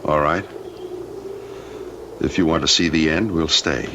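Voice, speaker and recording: An actor in an old-time radio drama playing an uneasy, exasperated husband. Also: male voice, very deep voice, fairly even intonation, measured pace. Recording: dull, noisy old radio recording.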